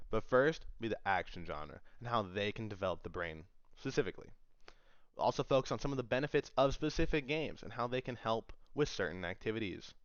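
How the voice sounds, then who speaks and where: A man's voice: lowish voice, a bit bright, dry recording, highs cut off. A young man giving an informal speech to an audience in a conversational, emphatic way, repeating phrases for stress. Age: young adult. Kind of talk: informal public-speaking presentation to an audience.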